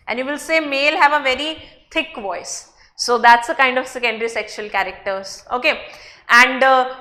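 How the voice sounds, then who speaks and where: Indian-accented woman lecturer, high bright voice, patient step-by-step explainer. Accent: Indian English. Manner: explains step by step with frequent checks like okay and right. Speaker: biology teacher. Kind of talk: online class lecture.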